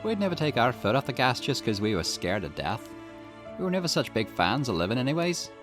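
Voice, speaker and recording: A man's voice: mid-range voice, very animated, fairly quick, over music, lightly acted. A man voicing a brash, loyal young woman from a video game, with a blunt, teasing manner. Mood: defiant, resolute.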